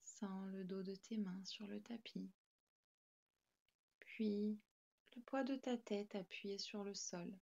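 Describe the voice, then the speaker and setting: Slow, calm woman guiding meditation. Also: medium pitch, vocal fry, muffled recording. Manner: calm, soothing, gentle. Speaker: yoga nidra guide. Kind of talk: guided meditation with slow, spaced instructions.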